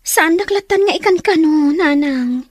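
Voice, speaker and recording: A woman playing a gentle, wistful young provincial woman in an Ilocano radio drama, with a Filipino Ilocano accent. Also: sits high, animated, measured pace, no room sound. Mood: reserved, longing. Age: young adult.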